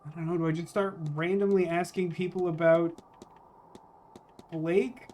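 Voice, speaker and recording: A man's voice: fairly high pitch, flat delivery, measured pace, a little mellow, slight background noise. A man streaming a game with casual, wry commentary, rambling as he thinks aloud.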